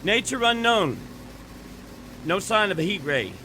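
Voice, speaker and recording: Actor as tense, bright-voiced soldier under fire. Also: male voice, high voice, lively intonation, measured pace, a little hiss or hum, full character voice. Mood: grim, clipped. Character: frantic army artillery spotter, then grim bomber pilot lieutenant. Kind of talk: 1930s radio drama acting.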